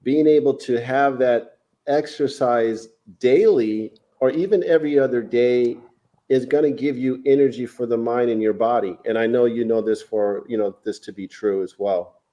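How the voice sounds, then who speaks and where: A man with a soft-edged, mellow voice hosts a conversational interview. He sounds enthusiastic and affirming as he puts questions to his guest. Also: medium pitch, fairly even intonation, normal speed, dull audio. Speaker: podcast host.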